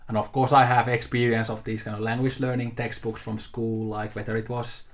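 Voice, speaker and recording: A young man chats into the camera in a loose, unhurried ramble. His pitch sits in an ordinary middle register and barely moves, giving a level, monotone delivery. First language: Finnish. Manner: easygoing, rambling, with frequent fillers and restarts. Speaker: video host showing a haul. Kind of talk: casual unscripted talk to camera.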